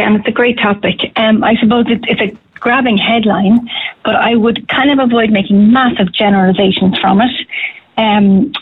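A woman, a clinical psychologist answering radio interview questions in a measured, hedging way with frequent restarts. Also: medium pitch, slightly rough. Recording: phone line.

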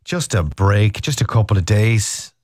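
A man's voice: on the low side, expressive, a little slow, a little mellow, no echo, studio quality. An Irish man hosting a radio show, chatting playfully with puns. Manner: playful, punning. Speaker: radio host. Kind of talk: jokey radio chat.

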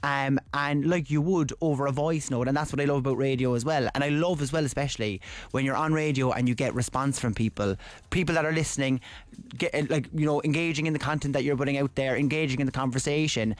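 Fast-talking Cork Irish man. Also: medium pitch, steady tone, no room sound. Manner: rambling, lots of fillers and restarts. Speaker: radio newsreader and guest. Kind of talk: casual radio chat.

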